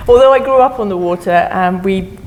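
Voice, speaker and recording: A woman's voice: medium pitch, darkish tone, a little hiss or hum. Chatty laughing woman with a foreign accent. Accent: non-American. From not from the US. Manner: chatty, laughing, self-deprecating. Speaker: panelist. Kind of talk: panel discussion. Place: theater stage.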